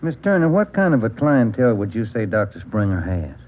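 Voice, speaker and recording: An actor playing an agreeable American police sergeant in an old radio drama, plain-spoken and polite. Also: male voice, on the low side, big pitch swings, brisk. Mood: casual. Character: agreeable, easygoing male police sergeant, junior partner. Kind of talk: 1940s-50s radio police drama acting. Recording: tinny old radio recording, faint noise.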